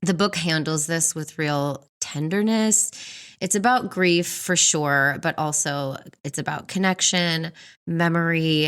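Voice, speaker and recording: A woman in her forties co-hosting a book podcast, reviewing in an expressive, chatty way with frequent laughter. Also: deep voice. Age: 40s. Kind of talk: book review chat, partly prepared notes.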